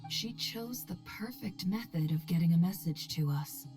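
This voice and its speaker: An actress playing a composed, perceptive young woman strategist in a fantasy game, speaking in a measured, deliberate way. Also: fairly low pitch, animated, music in the background, lightly acted. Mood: calm, knowing.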